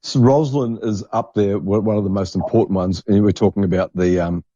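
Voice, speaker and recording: A man's voice: on the low side, steady tone, a little fast, dark tone, no room sound. A man hosting a podcast in casual chat, enthusiastic and repeating himself for emphasis, on a lo-fi recording. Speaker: podcast host. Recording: dull lo-fi, dry microphone sound.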